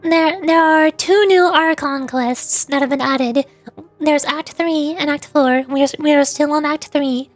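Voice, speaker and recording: A woman's voice: sits high, unhurried. A woman chatting casually on a livestream as a VTuber game streamer, in a giggly, rambling way. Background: faint music.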